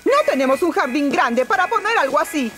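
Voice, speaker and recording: A woman's voice: sits high, animated, brisk. A woman playing a stern, exasperated shopkeeper mother in a Latin American Spanish cartoon dub, firm and scolding. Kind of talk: children's cartoon dubbing.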